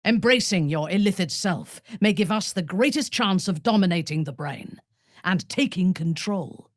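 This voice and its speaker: An actress playing a haughty, menacing female drow warrior cleric in a video game, speaking in an imperious, measured, cutting way. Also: on the low side, animated, dry recording, studio quality. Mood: calculating. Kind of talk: video game character voice lines.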